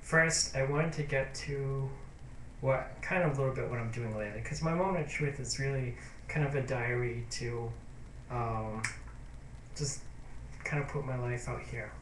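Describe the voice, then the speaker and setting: A man of middle pitch, rambling through a personal video diary. He hesitates, circles back and sounds sentimental and confiding. The room gives his voice a noticeable echo, with faint background noise. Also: moderate pace, distant mic. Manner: rambling, hesitant, full of fillers and restarts. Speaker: YouTube vlogger. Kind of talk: casual diary vlog. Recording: roomy echo.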